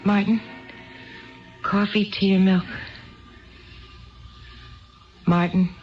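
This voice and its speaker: A woman's voice: medium pitch, level tone, measured pace, dull audio, music in the background, lightly acted. An actress playing an eager, chatty, apologetic housewife in a 1970s radio drama, rambling and hesitant as she repeats herself. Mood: curious, timid.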